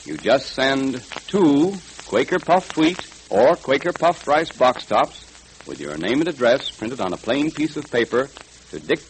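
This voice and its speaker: An American man works as a 1930s radio announcer, with a mid-range voice and highly animated intonation. He is emphatic and deliberate as he addresses young listeners, over a faintly hissy vintage recording. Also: rough recording.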